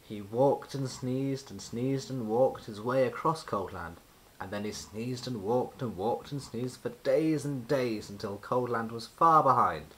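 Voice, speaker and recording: A man reading a children's storybook aloud as narrator, with expressive, deliberate storytelling and emphasis on repeated words. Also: medium pitch, slight background noise, poor audio. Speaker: children's book reader.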